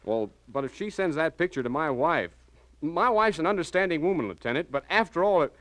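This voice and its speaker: A man's voice: a little high, animated, brisk, a bit bright, dry recording. An actor playing an anxious married small-town businessman, hesitant and flustered, in 1940s radio style. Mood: distressed.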